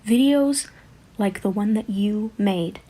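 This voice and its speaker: A woman leaving an earnest recorded complaint message, speaking in halting, deliberate, formal phrases. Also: mid-range voice, warm tone. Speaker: voicemail sender.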